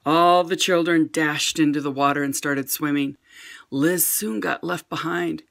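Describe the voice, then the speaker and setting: Older female storyteller, bright gravelly tone, expressive picture-book read-aloud. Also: low voice, a little slow. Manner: gentle expressive storybook reading.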